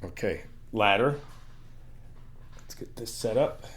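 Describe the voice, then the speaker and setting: A man's voice: on the low side, takes it slowly, fairly bright tone, a little hiss or hum. A young man in an ASMR roleplay, talking as a friend helping paint a new apartment, easygoing and reassuring, teasing and laughing often. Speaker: ASMR roleplay creator playing a supportive friend.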